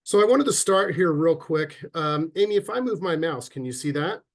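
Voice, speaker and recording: A man's voice: fairly high pitch, moderate pace. Man with a head cold presenting. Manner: relaxed, chatty, frequent ums and restarts. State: head cold, stuffy and sniffly. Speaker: sales and marketing officer presenting a webinar. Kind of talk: casual online presentation. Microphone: computer mic on a video call.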